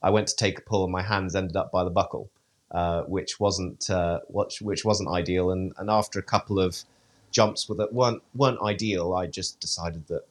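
A man's voice: sits low, fairly even intonation, normal speed. A man answering interview questions, hesitant, with many fillers and restarts. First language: English. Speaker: event rider, interview guest. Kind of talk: podcast interview.

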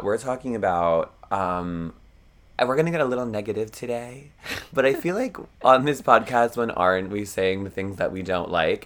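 A man's voice: lowish voice, a bit bright. A young man with a froggy voice, co-hosting a podcast in rambling, self-deprecating casual chat and laughing often. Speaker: podcast co-host.

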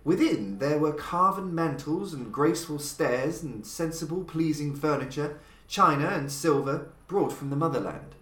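A man hosting a podcast and reading a classic horror story in a measured, dramatic narrating style. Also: echoey room. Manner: measured, dramatic delivery with lively pitch swings. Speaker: podcast host and reader.

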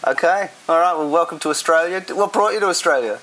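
A middle-aged man interviewing a skateboarder in a relaxed, conversational way, often asking leading questions. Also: fairly high pitch, fast. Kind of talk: casual interview. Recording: faint background hiss.

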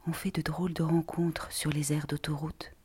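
A man reading a literary monologue aloud in a breathy, often half-whispered voice. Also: on the high side, flat delivery, moderate pace, a little mellow.

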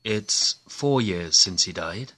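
Audio drama husband, male actor: anxious and earnest, forceful lowish voice, nervously polite. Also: animated, takes it slowly, heavily acted. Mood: earnest, devoted. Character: anxious, eager-to-please husband, devoted to his troubled wife.

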